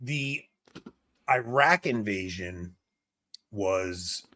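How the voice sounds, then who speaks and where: Gravelly New man telling stories. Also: fairly low pitch, very slow. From New York. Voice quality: smoky, gravelly voice. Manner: animated storyteller, laughs often, trails off and restarts. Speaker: podcast co-host. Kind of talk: casual chat.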